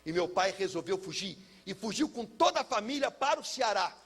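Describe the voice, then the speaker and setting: A Brazilian man speaking as a pastor, telling a personal testimony in an emphatic, theatrical way with deliberate repetition. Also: high voice, sing-song, a bit bright. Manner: emphatic, theatrical, uses pauses and repetition for effect. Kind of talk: personal testimony, dramatic storytelling to listeners.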